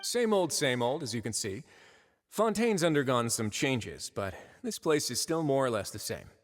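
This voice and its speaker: An actor playing a composed, courteous duke of a prison fortress in a video game, speaking in a polished, measured way with understated irony. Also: male voice, mid-range voice, sing-song, natural voice. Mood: calm, dry.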